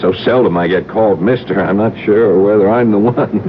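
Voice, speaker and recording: An actor with an American accent playing an easygoing but blunt federal narcotics agent in a 1970s radio drama. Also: male voice, on the low side, a little fast, lightly acted. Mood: friendly, flustered. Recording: dull 1970s radio broadcast, faint noise.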